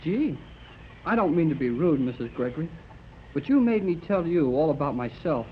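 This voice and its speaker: A young-sounding actor playing an eager, earnest, boyish amateur radio operator in a 1930s radio serial. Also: male voice, on the high side, normal speed. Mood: inquisitive, excitable. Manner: excitable, earnest, gee-whiz. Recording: dull, noisy 1930s radio recording.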